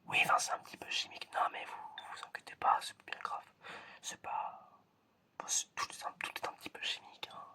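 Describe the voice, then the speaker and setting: A whispering ASMR performer plays a quirky, mildly suspicious family doctor, talking softly and haltingly and often repeating phrases. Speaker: ASMR creator playing a general practitioner.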